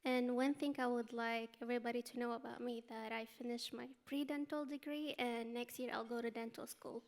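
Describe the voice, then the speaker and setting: A young woman from Syria speaks on a panel. Her voice is somewhat high and very even, and her wording is simple and plain. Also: a little fast, creaky. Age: 20s. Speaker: panel guest, student speaker. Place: indoor luncheon event before an audience.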